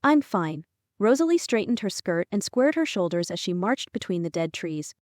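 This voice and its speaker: A woman narrating a fantasy audiobook in a scripted, expressive storytelling style. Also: mid-range voice, fairly quick, dry recording, studio quality.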